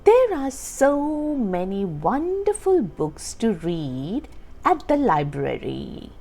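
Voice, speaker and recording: A woman reading a children's storybook aloud as a storytime host, with expressive, deliberate phrasing for young listeners. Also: medium pitch, vocal fry. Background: background music.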